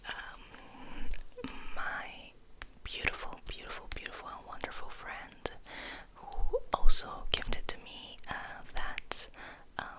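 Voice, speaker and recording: Slow whispering woman, ASMR style. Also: low-quality recording. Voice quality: breathy, unvoiced whisper. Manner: slow, halting, gentle pauses between short phrases. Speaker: host of an ASMR channel. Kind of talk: ASMR, soft whispered rambling.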